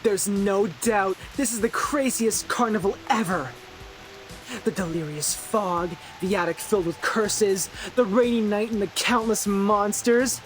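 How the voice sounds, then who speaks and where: A man's voice: high voice, steady tone, a little slow, a bit bright, soft background music. An actor playing an eccentric, theatrical young man who loves horror, in a video game. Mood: grandiose, thrilled. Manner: theatrical, teasing, grandiose. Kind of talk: English video game character acting.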